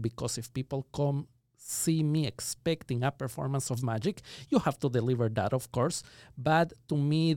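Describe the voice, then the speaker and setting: A man from Ecuador in his thirties with an Ecuadorian Spanish accent, speaking as a guest in an interview and explaining his ideas in careful, measured phrases. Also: a little high, darkish tone, dry recording, studio quality. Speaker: guest magician. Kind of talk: reflective conversational interview.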